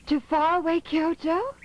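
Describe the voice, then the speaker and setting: A woman playing a sorrowful, loving mother in an animated film, plaintive and emotional. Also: very high-pitched, low-quality recording. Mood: shocked. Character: sorrowful, loving mother parting from her child. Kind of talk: animated film melodrama dub. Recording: loud music under the voice. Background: loud music.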